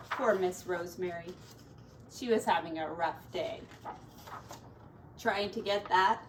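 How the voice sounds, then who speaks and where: A woman teacher reading a children's story aloud expressively, stretching words and adding folksy, chatty asides for young listeners. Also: mid-range voice. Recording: distant mic with faint background noise.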